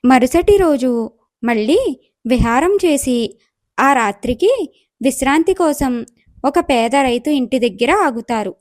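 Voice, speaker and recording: A woman's voice: high-pitched, lively intonation, takes it slowly, pauses often, no echo, clean studio sound. A woman storyteller reading scripted narration for a moral story.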